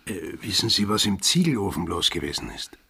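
An actor playing a gruff, hard-drinking sailor in a radio drama, brusque and suspicious. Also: male voice, deep voice, very animated, a little fast, sharp tone, a little hiss or hum, exaggerated voice. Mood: brusque, demanding. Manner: brusque, demanding.

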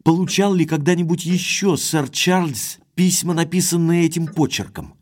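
An actor playing an earnest young gentleman heir in an audio drama, direct, courteous and inquisitive, with quiet authority. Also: a little high, normal speed, close to an everyday voice. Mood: questioning.

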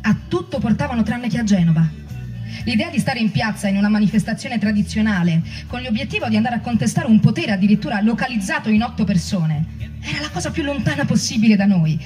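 Heard off-mic over music, a reflective former activist played by a woman recalls student years in a mellow voice. The delivery is that of a staged testimony, read as memory. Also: a little high, animated, fast, close to an everyday voice. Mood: reflective, recollecting. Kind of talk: dramatic reading of oral history testimony. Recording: distant microphone, music underneath.

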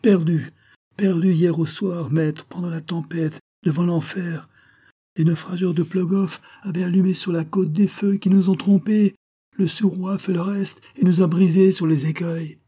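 A man's voice: high-pitched, little pitch movement, moderate pace, warm tone, slightly rough, pitched above the performer's own voice. A man voicing a shipwrecked young sailor in a read-aloud story, telling of the wreck in an urgent, pleading voice. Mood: desperate.

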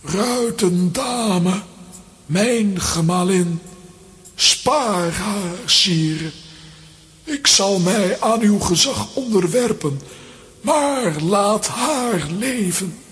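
A man's voice: sits high, lively intonation, extremely slow, a bit bright, full character voice. An actor playing a noble, lovelorn playing-card king in a children's audio play, with stately, old-fashioned diction. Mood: pleading. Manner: solemn, stately, archaic diction. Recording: long reverberant hall.

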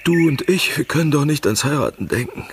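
An actor in a German radio drama playing a plain-spoken secret lover, terse, wary and wounded yet passionate. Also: male voice, fairly high pitch, animated, fairly quick, close to an everyday voice. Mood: blunt longing. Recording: clearly noisy sound with clicks.

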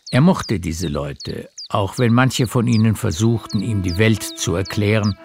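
A man narrating a literary radio play as a measured storyteller. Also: lowish voice.

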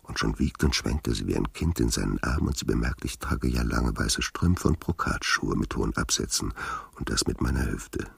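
Brooding male narrator, extremely deep, heavy creak, radio play, intimate. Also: expressive, moderate pace, no echo, heavily acted. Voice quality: gravelly, husky.

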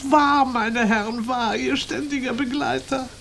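Radio play casting: a weepy, gushing lady dog owner, higher voice, noisy recording. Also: fairly even intonation, measured pace, gravelly. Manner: theatrical, gushing, tearful. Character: fussy, tearful lady pug owner.

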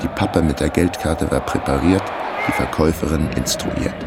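A man's voice: a bass voice, moderate pace, over loud music, over a noisy crowd. A man playing a wry amateur detective who narrates his case in a dry, laconic way in a radio crime drama. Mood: tense, wry.

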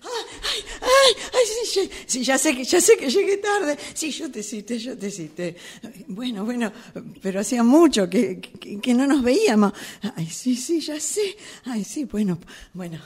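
Husky, flustered woman, Rioplatense accent. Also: sits high, lively intonation, a little slow. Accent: Rioplatense Spanish. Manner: nervous, stammering repetitions. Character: flustered, apologetic woman meeting an old acquaintance. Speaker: radio drama workshop participant. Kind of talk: improvised one-sided dialogue exercise.

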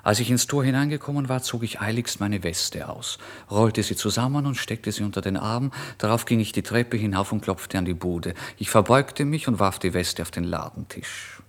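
A male actor plays a hungry, proud male writer narrating his own wanderings in a radio drama. His voice sits fairly low, and he broods nervously, swinging from hushed to forceful with an irritable edge. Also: moderate pace, hardly any pauses, close to an everyday voice. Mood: hurried, brightening. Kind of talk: literary first-person radio drama monologue.

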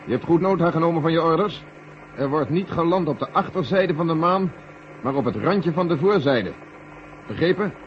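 An actor playing a calm, authoritative general in charge of a moon mission in a 1970 radio drama. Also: male voice, fairly high pitch, moderate pace, lightly acted. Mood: brisk, commanding. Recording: noisy, dull old radio recording.

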